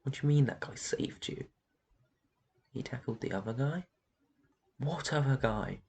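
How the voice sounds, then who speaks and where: A man's voice: medium pitch, animated, unhurried, gravelly, darkish tone, slightly rough, exaggerated voice. A man playing a brooding, protective vampire in an intense fantasy ASMR roleplay. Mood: angry, commanding. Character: brooding protective male vampire guarding his human flock.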